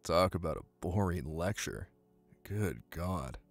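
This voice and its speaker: A man acting as a confident, dominant young college hypnotist in an ASMR roleplay, speaking softly with soothing command. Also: deep voice, lively intonation, faint music behind, heavily acted. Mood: casual, teasing. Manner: soft, commanding, soothing, deliberate. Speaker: voice actor.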